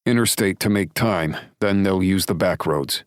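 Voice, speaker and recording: A man's voice: deep voice, normal speed, clean tone, no echo, pitched above the performer's own voice, natural voice. A man voicing a firm, blunt male lawman speaking matter-of-factly on the phone, in a dry, close recording. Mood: steady. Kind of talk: audiobook dialogue.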